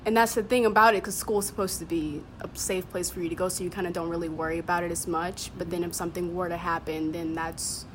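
A woman's voice: fairly low pitch, steady tone, quick pace, few pauses. A teenage girl talking casually as a guest in a group discussion. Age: teens. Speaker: student cast member, podcast guest. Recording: clear background noise.

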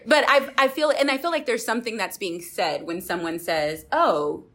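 A woman's voice: on the high side, normal speed, sharp tone. A woman from Texas hosting a conversational podcast discussion, thinking aloud in a reflective way and rephrasing as she goes. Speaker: podcast host.

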